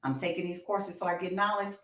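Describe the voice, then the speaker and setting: A woman's voice: fairly low pitch, flat delivery, fast, a bit bright. A woman in her fifties co-hosting a casual livestream, talking animatedly and encouragingly straight to her viewers. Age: 50s. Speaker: co-host of a music talk livestream. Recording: roomy, echoey livestream sound.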